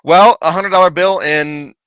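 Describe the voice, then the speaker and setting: A man telling a lively, laughing story from his paranormal investigations in casual chat. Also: high voice, moderate pace, clean tone, no echo. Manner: animated, laughing, big voice.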